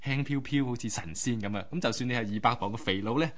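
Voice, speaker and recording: A male radio host with a Hong Kong Cantonese accent and a medium-pitched voice. He tells stories conversationally, explaining things in colloquial language and speaking directly to listeners. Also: quick pace. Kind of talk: conversational storytelling radio talk.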